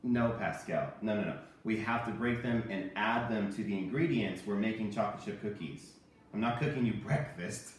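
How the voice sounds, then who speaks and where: A man in his thirties presenting a cooking lesson for children, playing the animated straight man. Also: lowish voice, moderate pace, a little hiss or hum. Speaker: host of a children's cooking show. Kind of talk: scripted instructional presenting with puppet banter. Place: home kitchen. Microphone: camera a few meters away. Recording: very echoey room, distant microphone.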